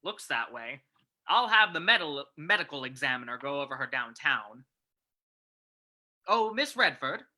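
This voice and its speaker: A man playing a gruff, fussing police commissioner in an old-time radio reading. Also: on the high side, big pitch swings, measured pace, pauses often, sharp tone, heavily acted. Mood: brisk.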